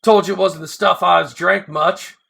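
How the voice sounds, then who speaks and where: A man's voice: sits high, fairly even intonation, moderate pace, creaky, fairly bright tone, breathy edge, heavily acted. A man voicing a humble, sentimental older builder who tells his story with rustic, backwoods grammar. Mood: wistful. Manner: emotional, plainspoken storytelling. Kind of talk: first-person character reading.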